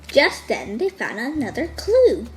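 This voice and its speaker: Slow, animated woman reading a storybook. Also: high-pitched. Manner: expressive, deliberate. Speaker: children's storytime reader. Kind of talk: read-aloud storybook narration. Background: music.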